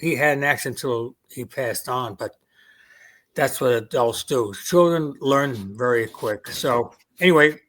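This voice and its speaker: A man's voice: mid-range voice, unhurried, fairly bright tone. Elderly Queens-accented man reminiscing. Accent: New York, Queens. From Germany. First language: German. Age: 80s. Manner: reminiscing, quoting family dialogue with hesitations. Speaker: veteran guest. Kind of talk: casual interview storytelling.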